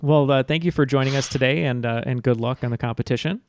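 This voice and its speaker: A man's voice: medium pitch, fast, darkish tone. Chatty man hosting a trivia podcast. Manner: chatty, many "uh" fillers. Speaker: podcast host. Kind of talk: casual quiz show hosting. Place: home, Chicago.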